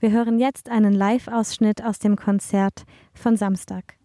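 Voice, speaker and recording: Even-toned woman presenting on radio. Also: mid-range voice, a little slow, no room sound, studio quality.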